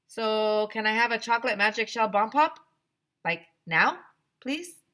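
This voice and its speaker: A woman's voice: fairly high pitch, expressive, unhurried, bright, forceful tone, voice pushed up. A woman voicing an impatient schoolchild in a storybook, pleading and insistent. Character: impatient schoolchild craving ice cream.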